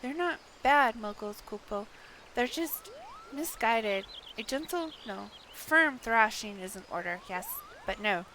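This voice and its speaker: A woman's voice: on the high side, lively intonation, unhurried, bright, forceful tone, a little hiss or hum. A woman voicing a small, fretful male moogle creature who pleads in a flustered way, as she reads a video game aloud. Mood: pleading.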